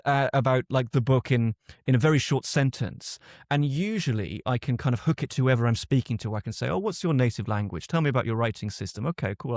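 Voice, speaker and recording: British man, guest author, reflective and measured, mid-pitched, recorded dry and close. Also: darkish tone, no room sound. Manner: thoughtful, reflective, often restarts sentences. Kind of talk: conversational interview answers.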